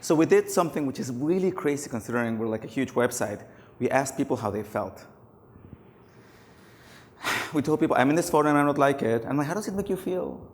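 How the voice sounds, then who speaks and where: A man's voice: mid-range voice, lively intonation, a little fast. A middle-aged man losing his voice, giving a casual, anecdotal conference talk on stage with many fillers. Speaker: conference speaker. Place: large conference hall. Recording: long hall reverb.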